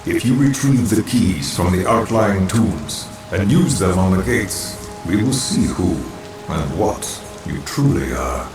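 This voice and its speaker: A man's voice: low voice, expressive, unhurried. A man voicing an ancient, solemn guardian of a temple who speaks in a grave, commanding way. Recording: echoey, distant, music behind. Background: game music.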